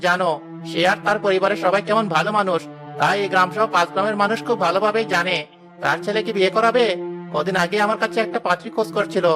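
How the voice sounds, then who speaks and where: A man voicing a chatty middle-aged village matchmaker in a cartoon, brisk and reassuring. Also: high voice, fairly even intonation, over music, natural voice.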